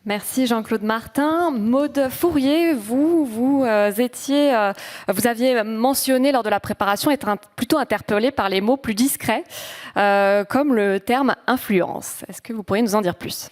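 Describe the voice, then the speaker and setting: Higher-voiced woman moderating a panel. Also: measured pace, a little mellow. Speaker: round-table moderator.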